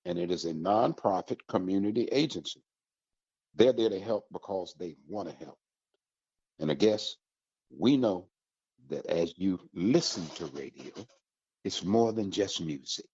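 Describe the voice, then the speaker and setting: Male radio host, low and raspy, emphatic promo delivery speaking directly to listeners, muffled recording. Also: darkish tone. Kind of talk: upbeat radio promo talk. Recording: dull, slightly lo-fi audio.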